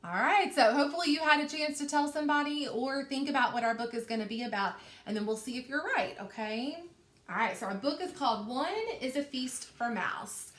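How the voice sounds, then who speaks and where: A woman's voice: high-pitched, a little fast, fairly bright tone. A woman reading a storybook aloud to children like a teacher, expressively stretching key words and asking questions. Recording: roomy, echoing indoor sound.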